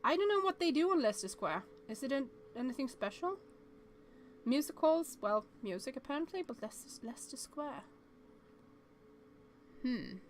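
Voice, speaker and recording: A woman streaming a game with casual, expressive commentary, thinking aloud and reading on-screen text as she goes. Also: sits high, moderate pace, long pauses, a little hiss or hum.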